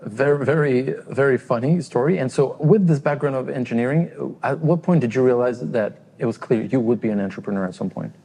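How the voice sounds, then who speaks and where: A man hosting a business interview podcast, asking polite, slightly halting questions. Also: medium pitch, gravelly, warm tone, husky, in a large hall.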